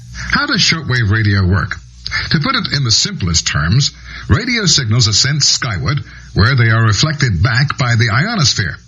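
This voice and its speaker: An American man narrates an instructional tape with a very husky, rough-edged voice and lively intonation. The sound is dull and lo-fi, like an old cassette transfer. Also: mid-range voice. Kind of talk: scripted educational narration.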